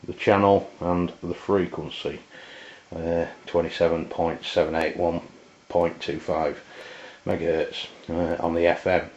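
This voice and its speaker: English guy reviewing gear off the cuff, slow and halting, dull lo-fi echoey sound. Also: low-pitched, little pitch movement, darkish tone. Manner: halting, hesitant, pausing mid-sentence. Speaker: product reviewer. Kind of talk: informal hands-on demonstration, unscripted. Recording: roomy, dull lo-fi camera sound.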